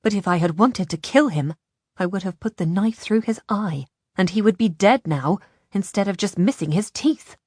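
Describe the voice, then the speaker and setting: A woman voicing a defiant young female fighter, blunt and forceful, in an audiobook. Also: mid-range voice, expressive, moderate pace, husky, dry recording, clean studio sound. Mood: fierce, angry.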